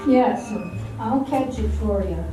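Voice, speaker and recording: A woman's voice: on the high side, slow. A woman playing a gossipy, fawning female assistant in an amateur radio drama, recorded from afar in a very echoey hall. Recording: distant, very echoey hall, music behind.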